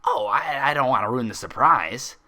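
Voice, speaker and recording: A man voicing a bubbly, giggly, mischievous young female pony from a cartoon, playful and excitable. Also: mid-range voice, normal speed, voice pushed up. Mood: giggly, teasing.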